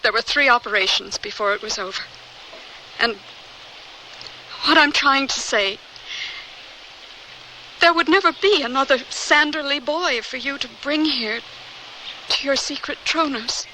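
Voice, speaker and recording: An actress playing a grave, anguished woman in love in a radio drama, halting and emotional. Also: high voice, sharp tone, slight background noise, poor audio. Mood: grave, pained.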